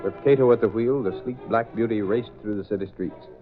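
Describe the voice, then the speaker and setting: A man's voice: lowish voice, brisk. A man narrating an old-time radio serial as its announcer in scripted, vintage broadcast style. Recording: narrow old radio recording with music.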